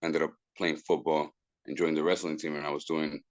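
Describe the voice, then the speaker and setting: Deep-voiced man, halting. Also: flat delivery, no echo. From Puerto Rico. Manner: halting, repeats words and restarts, slips into Spanish phrases. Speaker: musician guest. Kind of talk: casual interview talk.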